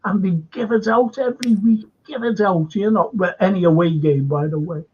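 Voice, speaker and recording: A man's voice: sits high, moderate pace, husky, highs cut off. An older man hosting a casual fan chat show, rambling and chatty with lots of "you know". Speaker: host.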